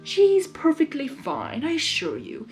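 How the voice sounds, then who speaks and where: A woman's voice: sits high, wildly swooping pitch, measured pace, darkish tone, faint music behind, voice pushed up, full character voice. A woman voicing a smug, mocking male chaos creature villain in a breezy, theatrical, taunting manner.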